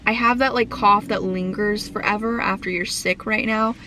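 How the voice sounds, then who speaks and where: A young woman talks straight to her camera in a loose, rambling way, with plenty of fillers and restarts. Her voice is croaky from a cough that has not gone away yet. She sounds casual and at home. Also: medium pitch, fairly even intonation, normal speed, sharp tone, a little hiss or hum. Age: young adult. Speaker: vlogger.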